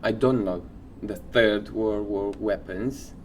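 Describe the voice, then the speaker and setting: Male podcast co-host, unhurried and halting, medium pitch, informal talk, faint background noise. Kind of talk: casual chat, sharing odd facts.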